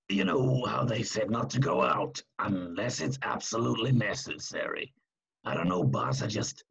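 A very husky, gravelly henchman, played by a man, in a crime drama. He is meek and earnest, hesitating deferentially as his deep voice swings up and down. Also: a bit bright, highs cut off, exaggerated voice. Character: meek, chatty, conscientious hired thug reporting to boss.

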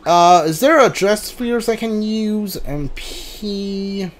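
A man giving casual live commentary on a game, thinking aloud in a hesitant, halting way. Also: high-pitched, slight background noise. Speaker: game streamer.

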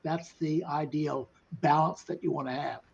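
Older man, husky, lo-fi call audio. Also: fairly high pitch, fairly even intonation, moderate pace. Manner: folksy, skeptical, anecdotal. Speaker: panelist, company founder. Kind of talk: webinar panel talk. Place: home room. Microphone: webcam on video call. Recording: dull lo-fi video call audio.

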